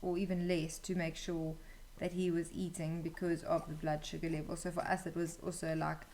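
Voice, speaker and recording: A woman's voice: sits low, level tone, moderate pace, a little mellow. A young woman, chatting casually as a guest sharing her own experience. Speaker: interview guest, new mother. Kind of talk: casual conversational storytelling. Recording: clearly noisy room ambience.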